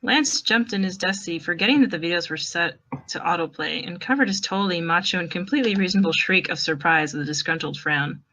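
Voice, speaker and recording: Bright-voiced woman narrating fan fiction. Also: fairly low pitch, quick pace, slight background noise, poor audio.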